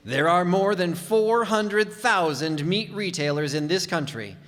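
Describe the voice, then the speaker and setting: In an echoing hall, a man plays an earnest 1940s radio announcer. His voice is high for a man and highly animated. He delivers scripted narration in a grand manner. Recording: echoey hall stage recording.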